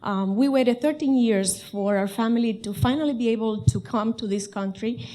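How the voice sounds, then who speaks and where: A woman's voice: a little high, normal speed, mellow. A middle-aged woman from Cuba with a Cuban Spanish accent, speaking English as a second language, earnestly sharing a personal comment from the audience at a panel. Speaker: audience member.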